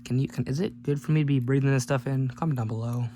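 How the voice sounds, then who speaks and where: Male teardown host, mid-pitched and flat, casual rambling commentary with offhand jokes, clearly noisy humming recording. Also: fast. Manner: rambling, jokey offhand remarks. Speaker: host of a gadget teardown video.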